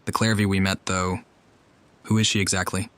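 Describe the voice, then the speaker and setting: A man's voice: deep voice, flat delivery, normal speed, a bit bright, clear, resonant tone. A man voicing a terse young male traveling hero in a video game. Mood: questioning.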